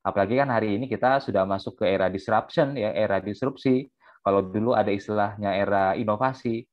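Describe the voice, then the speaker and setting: Fast-talking man on a tinny line. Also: lowish voice. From South Sumatra, Indonesia. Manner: fast, repetitive, many fillers and "ya". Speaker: guest speaker. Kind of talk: online seminar talk.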